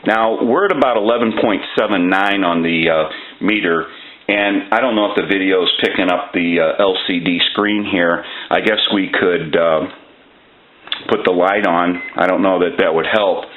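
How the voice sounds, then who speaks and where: A man's voice: sits low, moderate pace. A man giving a casual hands-on demonstration as a repair technician, explaining step by step in a plainspoken way. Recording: tinny phone-like band, loud noise.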